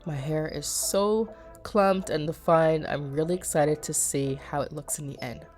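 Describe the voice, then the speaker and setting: Low-voiced woman, chatty vlog, slightly nasal. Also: steady tone, a little slow, over music. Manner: chatty, explanatory, addresses viewers as you guys. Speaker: beauty vlogger. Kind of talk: casual vlog voice-over.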